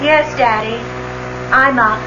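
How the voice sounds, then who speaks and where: Despondent, high-voiced young woman. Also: slow. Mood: listless. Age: young adult. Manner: weary, brittle, self-reproaching. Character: despondent, bitter young woman tormented by guilt. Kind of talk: 1970s radio drama acting. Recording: muffled, noisy old radio recording.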